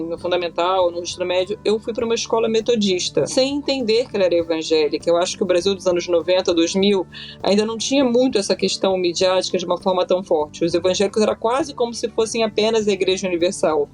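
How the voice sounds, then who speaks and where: A woman's voice: medium pitch, a little mellow. A woman in her thirties with a Carioca accent from Rio, a journalist speaking on a panel in a chatty, thoughtful way with many fillers. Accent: Carioca Brazilian Portuguese. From Rio de Janeiro, Brazil. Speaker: journalist guest. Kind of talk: casual panel conversation.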